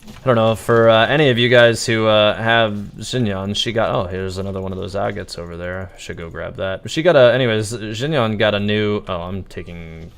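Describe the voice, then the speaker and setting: A man livestreaming a video game with casual, rambling, halting commentary. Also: on the low side, creaky, a bit bright, clean tone. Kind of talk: casual live gameplay commentary.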